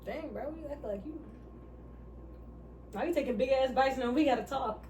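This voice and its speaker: A woman's voice: high voice, moderate pace, darkish tone. Light-voiced woman, rambling from off mic. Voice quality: light, somewhat feminine voice. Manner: rambling, loses her train of thought. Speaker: vlogger answering couple questions. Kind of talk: casual mukbang chat. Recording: distant mic, faint hiss.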